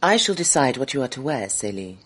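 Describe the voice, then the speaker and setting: An actress playing a cold, imperious female skeptic with villainous intent in a radio drama, speaking deliberately. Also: very deep voice, very animated, a bit bright, slightly rough, heavily acted. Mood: cool, commanding.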